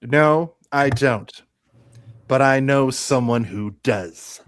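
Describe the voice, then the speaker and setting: A man's voice: mid-range voice, slow, clean tone, close to an everyday voice. A man playing a confident actor-commander in trouble in a live radio play, speaking directly and with urgency. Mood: calm.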